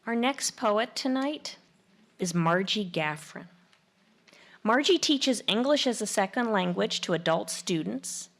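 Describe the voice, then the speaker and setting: Gravelly-voiced woman host announcing. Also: mid-range voice, lively intonation, unhurried. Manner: formal, announcing. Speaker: event host. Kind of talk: introducing speakers.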